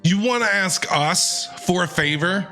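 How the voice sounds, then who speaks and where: A man reacting live to a game as a streamer, casual, expressive and exclamatory. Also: sits high, measured pace, faint music behind.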